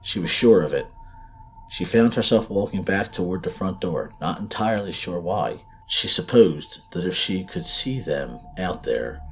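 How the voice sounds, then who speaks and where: A man's voice: low-pitched, expressive, moderate pace, soft background music, poor audio. A man reading a scripted horror story as narrator, in a dramatic, suspenseful, emphatic way.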